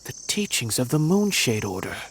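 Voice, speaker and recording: An actor playing a wary, probing inquisitor in a video game, speaking in a deliberate, dramatic way. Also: male voice, medium pitch, very animated, a bit bright, slight background noise. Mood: suspicious, stern.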